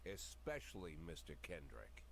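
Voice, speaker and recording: An actor playing a weary, cynical older police chief in a noir video game, with a dry, sardonic delivery. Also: male voice, low-pitched, wildly swooping pitch, moderate pace, gravelly, a crowd in the background, exaggerated voice. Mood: curt, dry. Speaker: narrator and lead character of a video game. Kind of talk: hard-boiled noir video game acting.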